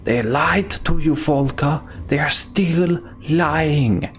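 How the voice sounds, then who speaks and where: A man voicing a vengeful warrior consumed by rage, speaking slowly with cold menace. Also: a little high, faint music behind, voice pushed up. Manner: cold, threatening, slow.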